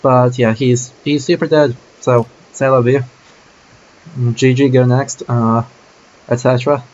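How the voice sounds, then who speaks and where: A man's voice: mid-range voice, steady tone, unhurried, a little mellow, highs cut off, slight background noise. A man streaming a game, musing aloud casually about his choices as he plays. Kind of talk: let's play commentary.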